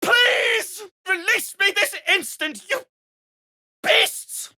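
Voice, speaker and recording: An actor playing a proud, grumbling deep gnome in a video game, wry, formal and theatrically indignant. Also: male voice, drawn-out words, sharp tone, heavily acted. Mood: panicked, outraged. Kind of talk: scripted video game character lines.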